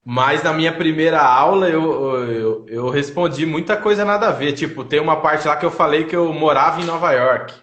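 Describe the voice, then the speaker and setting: A man's voice: on the high side, moderate pace, hardly any pauses, fairly bright tone, reverberant. A man with a Brazilian accent, a native Portuguese speaker, chatting casually and telling anecdotes in colloquial speech as host of a livestream. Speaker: host of a language-learning livestream. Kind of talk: casual chat. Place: indoors, online live video call.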